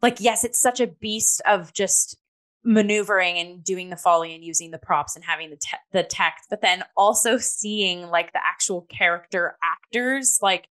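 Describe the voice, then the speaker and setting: A woman's voice: fairly low pitch, normal speed, fairly bright tone. A woman co-hosting a theatre review podcast, explaining things casually and loosely, example by example.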